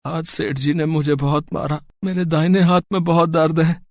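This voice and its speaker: A man's voice: on the high side, fairly even intonation, moderate pace, no echo, clean studio sound, close to an everyday voice. A man voicing a poor, humble, devout servant in a cartoon moral story, speaking hesitantly and deferentially. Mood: pained, sorrowful.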